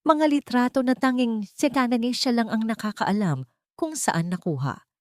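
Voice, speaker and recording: A woman's voice: fairly high pitch, moderate pace, darkish tone, no room sound, clean studio sound. A woman with a Filipino accent narrating a scripted romantic drama as a storyteller.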